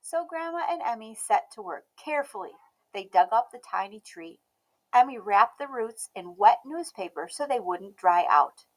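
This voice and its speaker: Very animated, high-voiced woman reading stories. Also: normal speed, poor audio. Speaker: storybook read-aloud host. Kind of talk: expressive children's story narration.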